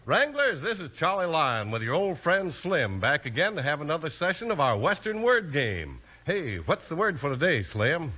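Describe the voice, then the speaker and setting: Animated, bright 1950s radio announcer man. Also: a little high, normal speed, hardly any pauses, soft background music. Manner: punchy, dramatic, folksy salesmanship.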